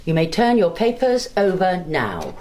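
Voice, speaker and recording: Actress as a stern exam teacher, low voice, pitch swooping, clipped scolding, radio play with hiss. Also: female voice, huge pitch swings, unhurried, mellow. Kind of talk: radio drama acting.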